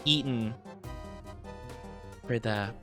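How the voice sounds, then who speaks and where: A woman's voice: extremely low pitch, extremely slow, over music. A woman streamer casually chatting with her viewers from her home streaming room, playful and teasing as she answers chat.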